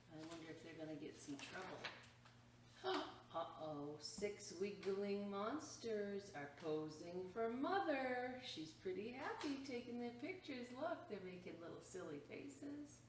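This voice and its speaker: A woman reading a children's picture book aloud as a storytime reader, expressive and exclaiming, pausing to point out the pictures to children. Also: fairly high pitch. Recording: roomy echo, distant mic, faint noise.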